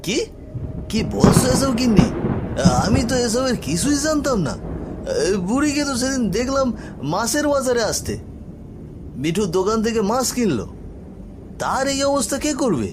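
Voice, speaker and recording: A man's voice: extremely high pitch, big pitch swings, moderate pace, long pauses, noisy background, voice pushed up. A man voicing a concerned, easily startled village fisherman husband in a cartoon, exclaiming and questioning. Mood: shocked.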